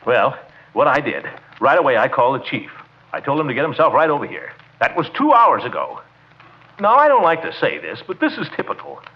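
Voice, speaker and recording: Pompous blustering official, wildly swooping voice. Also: male voice, medium pitch, a little fast. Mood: aggrieved. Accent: American. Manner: pompous, excitable, self-important. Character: pompous, blustering middle-aged small-town commissioner. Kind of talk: 1940s radio comedy acting. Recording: muffled old radio recording.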